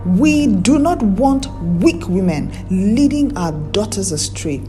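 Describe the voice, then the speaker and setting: A woman's voice: mid-range voice, animated, takes it slowly, music in the background. A woman storyteller voicing scornful, judgmental village women in a folktale, with a stern, disapproving manner.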